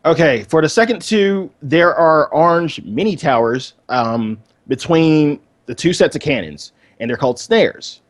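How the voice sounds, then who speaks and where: A man's voice: fairly high pitch, expressive, a little slow, few pauses, dry recording. A man chatting casually as the co-host of a gaming podcast.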